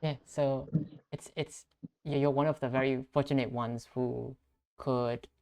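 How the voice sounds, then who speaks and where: Young man, average pitch, podcast host, conversational questions, earnest, measured delivery. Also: steady tone, darkish tone, clean tone. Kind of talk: conversational interview.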